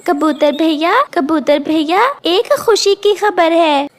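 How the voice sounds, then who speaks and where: A woman voicing a poor, gentle, grateful widowed mother bird, speaking politely and earnestly in a cartoon. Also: extremely high pitch, takes it slowly. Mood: happy.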